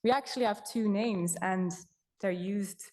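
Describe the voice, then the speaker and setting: A woman's voice: mid-range voice, measured pace, mellow. A young woman from Belgium, a native Dutch speaker, presenting her work as a repository manager in a chatty, self-correcting way with frequent fillers. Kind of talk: conference presentation.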